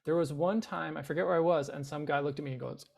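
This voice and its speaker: A man's voice: on the high side, fairly even intonation, fast talker, vocal fry, a little mellow. A man from the Boston area, a guest actor in a casual chat, hesitant and self-deprecating with a dry sense of humor. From Boston area, USA.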